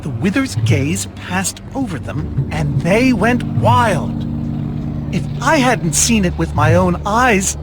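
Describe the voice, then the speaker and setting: A man playing an eccentric, haughty older inventor in an animated video game, fussy, theatrical and exclamatory. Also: sits high, slow, poor audio. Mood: musing. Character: eccentric, excitable older male inventor, haughty and easily exasperated. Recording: loud music behind the voice.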